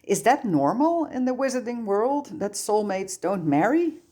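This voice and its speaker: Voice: mid-range voice, big pitch swings, unhurried, mellow, voice pushed up. A narrator voicing an awkward, earnest, sympathetic young wizard boy in an audiobook story. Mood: curious.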